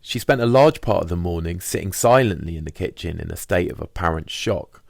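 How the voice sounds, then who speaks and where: A man reads a diary as audiobook narration. His voice is very deep with a lot of fry in it. The sound is dry, with no room around it. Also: expressive, fairly quick, no room sound. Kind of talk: scripted first-person diary narration.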